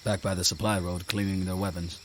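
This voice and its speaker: An actor playing a dutiful, concerned second lieutenant in a radio drama, respectful and measured. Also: male voice, low-pitched, level tone, a little hiss or hum, close to an everyday voice. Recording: radio drama with music underneath.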